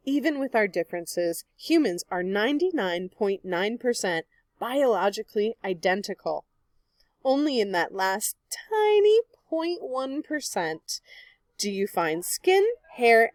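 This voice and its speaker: A woman's voice: mid-range voice, a little mellow, no room sound. Woman reading a children's book aloud, dry sound. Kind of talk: children's read-aloud narration.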